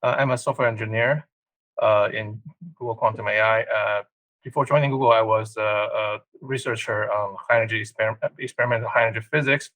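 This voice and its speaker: A man introducing himself on a panel as a software engineer, speaking hesitantly with many "uh" fillers. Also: on the low side, fairly even intonation, fairly quick, no room sound, rough recording.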